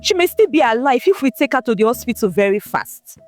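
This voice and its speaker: A woman's voice: a little high, big pitch swings, fairly quick, faint music behind. A woman voicing a frightened, guilty teenage boy in a storybook tale, speaking with panic and a stammer. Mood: panicked.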